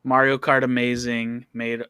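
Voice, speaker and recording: A man's voice: mid-range voice, level tone, measured pace, crowd noise. A man, a show regular, in casual chat with friends about what he likes.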